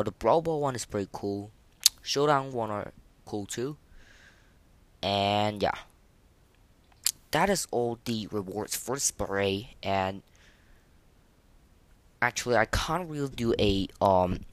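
A man hosting a casual, unscripted gaming podcast, speaking in a halting, repetitive, informal way. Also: on the low side, dry recording. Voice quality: voice that cracks now and then. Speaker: podcast host.

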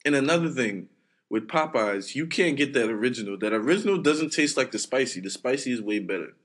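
A man's voice: medium pitch, expressive, brisk, fairly bright tone. A young man from Brooklyn co-hosting a podcast, joking in a playful, slangy, emphatic casual chat. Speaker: podcast co-host.

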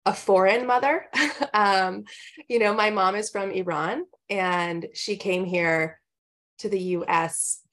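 A woman's voice: mid-range voice, fairly even intonation, takes it slowly, fairly bright tone. A woman in her thirties, a nutritionist, telling her story in a casual podcast interview, chatty and full of "like" and "um", laughing now and then. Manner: chatty, many fillers like "like" and "um", laughs often.

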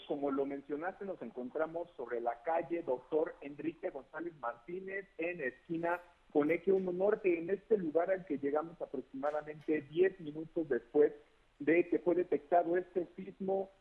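A man's voice: a little high, no room sound. A man with a Mexican accent giving a live, unscripted field news report from a city street over a phone link. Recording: tinny, band-limited phone link.

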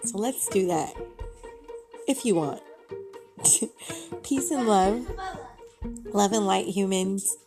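A young woman with a raspy voice, talking at home in a rambling, giggly, upbeat way about positivity, with music behind her. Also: mid-range voice, animated, takes it slowly, pauses often. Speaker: vlogger. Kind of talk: casual motivational talk to camera. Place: home with kids playing.

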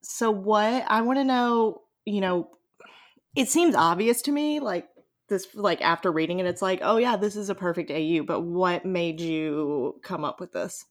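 A woman with a slightly low voice co-hosts a casual discussion podcast. She is enthusiastic and very informal, peppering her sentences with filler "like" as she puts questions and opinions. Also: a little slow. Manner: informal, enthusiastic, says "like" constantly. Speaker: podcast co-host and interviewer.

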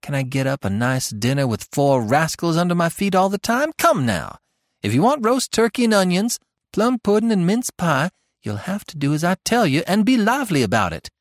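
A man's voice: fairly high pitch, very animated, moderate pace, no echo, studio quality, pitched above the performer's own voice. A man doing a bossy, eager farm girl. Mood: persuasive. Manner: commanding, spirited.

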